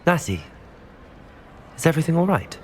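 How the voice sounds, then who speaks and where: A man playing a kind, supportive teenage wizard, speaking gently and politely in video game dialogue. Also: a little high, big pitch swings, moderate pace, slight background noise. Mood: gentle concern.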